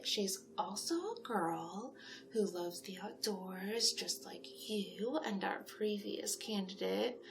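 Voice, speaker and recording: A woman's voice: mid-range voice, gravelly, slight background noise. A woman playing a chatty, encouraging monster matchmaker in a confiding, gushing one-sided ASMR roleplay. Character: chatty, encouraging female monster matchmaker pitching candidates to a client.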